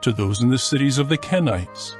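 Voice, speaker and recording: Measured male scripture narrator. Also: mid-range voice, lively intonation, soft background music.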